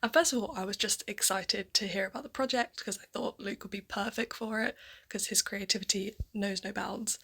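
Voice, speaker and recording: A woman's voice: mid-range voice, vocal fry, a bit bright, husky. A woman talking casually in an interview about being a first-time voice actor.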